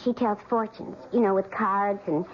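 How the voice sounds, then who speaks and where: A woman's voice: medium pitch, fairly even intonation, normal speed, close to an everyday voice. An actress playing a chatty, scatterbrained female best friend in a radio drama, talking in a gossipy, hesitant way. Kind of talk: 1970s radio drama acting. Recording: lo-fi old radio broadcast.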